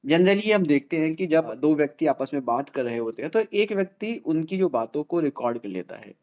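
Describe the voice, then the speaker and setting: A man's voice: fairly high pitch, animated, a little fast, dull audio. An Indian man presenting an explainer in a didactic way, repeating key points and mixing Hindi with English. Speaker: host of a legal explainer channel.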